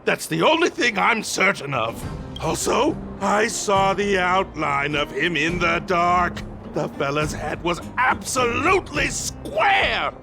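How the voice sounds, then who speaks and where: A man voicing a nervous, easily frightened night patrolman in a video game, stammering and halting as he talks. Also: sits high, very animated, vocal fry, over music, full character voice. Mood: shaken, frightened.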